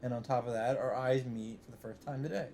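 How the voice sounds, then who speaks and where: A man hosting a let's play, reading visual novel text aloud in an even voice and breaking in with blunt, swearing asides. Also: medium pitch, a little fast, slight background noise. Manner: reads narration evenly, breaks in with swearing asides.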